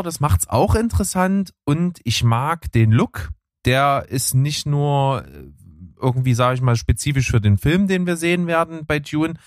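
A man's voice: a little high, dry recording, clean studio sound. A man chatting casually about films and trailers as a co-host, rambling with many fillers. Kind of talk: casual film chat.

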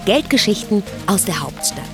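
A woman's voice: mid-range voice, big pitch swings, normal speed, music in the background. A woman announcing a podcast intro in an upbeat, scripted way.

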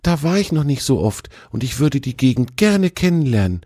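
A man doing a bright, eager young deer. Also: normal speed, slightly rough, dry recording, clean studio sound. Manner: excited, high and bright. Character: young male roe deer, eager and cheerful.

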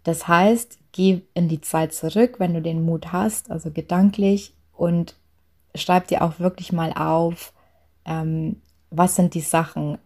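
A young woman from Lower Bavaria with a light Bavarian accent, chatty and full of fillers, giving a talk on authenticity at work. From Lower Bavaria, Germany. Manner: chatty, frequent fillers, soft laughs.

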